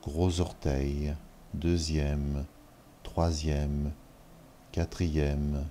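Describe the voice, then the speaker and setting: A man leading a guided relaxation meditation in short, measured instructional phrases with long pauses between them. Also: a bass voice, level tone, dark tone, a little hiss or hum. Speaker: yoga nidra guide.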